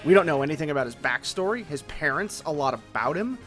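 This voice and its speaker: Male video essayist, slightly high voice, casual and conversational, scripted narration with music behind. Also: lively intonation, fast talker, a bit bright. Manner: casual, conversational, with colloquial asides.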